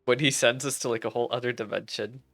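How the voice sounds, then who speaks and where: A man who streams an online fantasy game, chatting casually and excitably with gushing, teasing commentary. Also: mid-range voice, quick pace, no room sound, faint music behind. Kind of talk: casual game commentary mixed with reading dialogue aloud.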